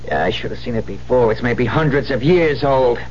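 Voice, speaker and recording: An actor playing a cocky, greedy, bullying street crook in a 1970s radio drama, sneering and slangy. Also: male voice, mid-range voice, expressive, fairly quick, lightly acted. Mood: scheming, greedy. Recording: muffled, noisy 1970s radio recording.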